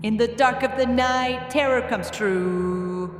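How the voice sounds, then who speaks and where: Man voicing a slow, echoing regal insect queen. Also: very high voice, fairly bright tone, pitched above the performer's own voice, exaggerated voice. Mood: quiet, eerie singsong. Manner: slow, drawn-out delivery. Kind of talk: fantasy audiobook character voice. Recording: echoey reverb with music behind.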